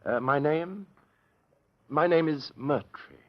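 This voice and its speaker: A man's voice: fairly high pitch, slow. An actor playing a suave, cunning male criminal mastermind with urbane, mockingly polite delivery in 1940s radio drama style. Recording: dull, muffled vintage radio recording.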